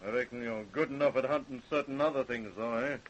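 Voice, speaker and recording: A man's voice: medium pitch, steady tone, fast, lo-fi sound, close to an everyday voice. A man playing a jealous, hot-tempered small-town husband in an old radio crime drama, talking in folksy, clipped, accusing phrases. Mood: suspicious. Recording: faint hiss, old radio recording.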